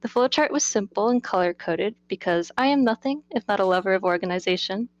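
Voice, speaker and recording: A woman's voice: mid-range voice, fairly quick, no room sound, highs cut off. A woman game developer and designer answering Q&A questions, partly reading from prepared notes. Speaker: game developer and character artist in a Q&A.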